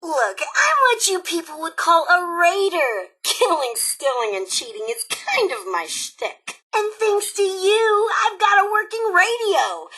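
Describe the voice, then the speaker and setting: A woman voicing a cheerful, bloodthirsty female raider robot in a video game, brash, sarcastic and excitable, with a sharp, forceful delivery. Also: very high-pitched, heavily acted, rough recording. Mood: smug, frank. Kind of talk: animated video game character acting.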